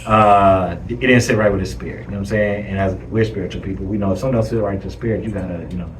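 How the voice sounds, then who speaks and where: A man's voice: low voice, steady tone, racing. Dude talking casual and slangy in a debate, careful with his points, roomy echo, bit of noise. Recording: roomy, noisy, distant-mic recording.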